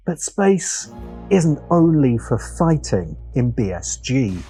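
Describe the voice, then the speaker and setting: A man narrating a scripted video essay with deliberate, dramatic phrasing. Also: mid-range voice, very animated, over music.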